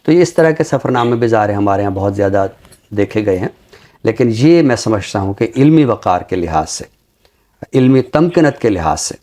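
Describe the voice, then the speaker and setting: A middle-aged man with a Pakistani accent and a fairly low voice. He presents literature in a measured, formal way. He often repeats a phrase to drive a point home. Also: dark tone. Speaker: literary show presenter and book reviewer. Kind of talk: measured literary talk with poetry recitation.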